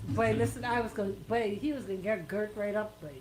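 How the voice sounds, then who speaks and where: A woman's voice: mid-range voice, fairly even intonation, fast talker, darkish tone, a little hiss or hum. A woman with a Bahamian accent co-hosting casual comic radio banter, playful and exclamatory, often echoing words. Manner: playful, exclamatory, echoes the other speaker's words. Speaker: radio co-host.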